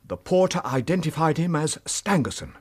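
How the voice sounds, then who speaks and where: A man playing an earnest, middle-aged British police inspector in a radio drama, giving grave, halting reports in a husky voice. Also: fairly high pitch, very animated. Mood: sombre recounting. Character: earnest, shaken veteran male police inspector.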